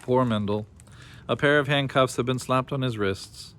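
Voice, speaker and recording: A man reading a children's story aloud as an expressive storyteller. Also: medium pitch, moderate pace, slight background noise.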